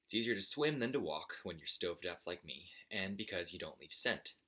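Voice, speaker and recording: A man's voice: sits low, animated, brisk, bright, forceful tone. A man narrating a children's story aloud in the first person, as the storyteller.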